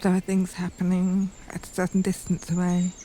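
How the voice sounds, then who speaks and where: Reflective woman with a mellow voice, outdoors. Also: fairly low pitch, completely flat delivery. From northern England. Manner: reflective, deliberate, searching for words. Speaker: poet, documentary subject. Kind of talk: unscripted spoken reflection. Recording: outdoor field recording with environmental noise. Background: birdsong and outdoor ambience.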